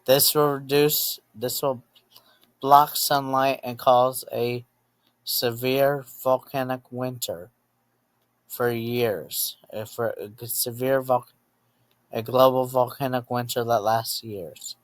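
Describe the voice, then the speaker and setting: A man narrating a scripted emergency alert as an announcer, halting between short phrases and often repeating himself to rephrase. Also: mid-range voice, fairly even intonation, no echo.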